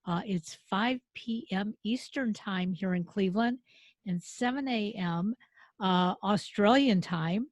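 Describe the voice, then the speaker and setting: A woman's voice: mid-range voice, takes it slowly, no echo. A woman hosting a podcast interview, friendly and enthusiastic as she introduces her guest. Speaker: podcast host.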